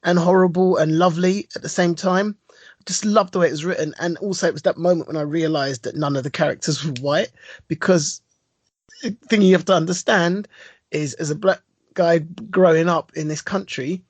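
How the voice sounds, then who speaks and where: Guy on a podcast, a bit husky, laid back, dry close mic. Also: on the high side, moderate pace, dry recording. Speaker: podcast co-host. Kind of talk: casual chat.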